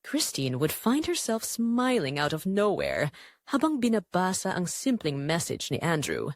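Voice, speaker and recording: A woman with a Filipino accent narrating a scripted romance story in an expressive, measured way with dramatic pauses. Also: on the low side, dry recording, studio quality.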